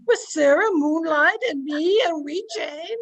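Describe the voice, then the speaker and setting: A woman's voice: high-pitched, a little slow. A woman playing a crusty old Scottish housemaid in radio drama, tart and impudent. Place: at home, recorded over video call.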